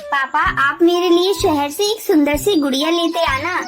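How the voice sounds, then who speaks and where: A woman's voice: extremely high pitch, moderate pace. A woman voicing a small, earnest, kindly female bird with a childlike manner in a Hindi cartoon fable. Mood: hopeful. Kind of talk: Hindi cartoon moral story dialogue. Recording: loud children's music under voice. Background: children's music.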